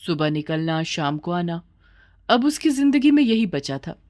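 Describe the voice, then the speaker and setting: A woman's voice: on the low side, big pitch swings, measured pace, darkish tone. A woman narrating a scripted children's story as the storyteller.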